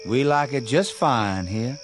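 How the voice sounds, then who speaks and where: A man's voice: medium pitch, unhurried, faint music behind, lightly acted. An actor playing a stubborn young country man in a radio drama, with a rural Southern US accent and a folksy, plain-spoken manner. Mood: defiant, menacing calm. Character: defiant young country man suspected of being undead.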